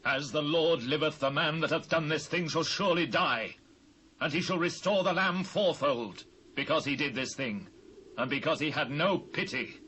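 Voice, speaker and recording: A British actor playing a proud, quick-tempered ancient king in a dramatised Bible reading, with a husky voice. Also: fairly high pitch, sharp tone, slight background noise, low-quality recording. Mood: angry.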